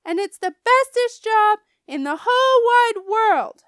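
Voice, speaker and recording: A woman voicing a brash, excitable, stubborn young schoolgirl in a children's audiobook, loud and emphatic. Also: very high-pitched, measured pace, no echo, studio quality, pitched above the performer's own voice, exaggerated voice. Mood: angry.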